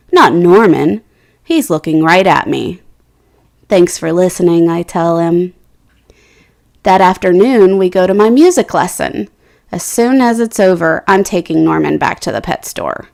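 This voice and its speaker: A woman reading a children's picture book aloud, slow and expressive, giving the characters' quotes their own lift. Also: mid-range voice, gravelly.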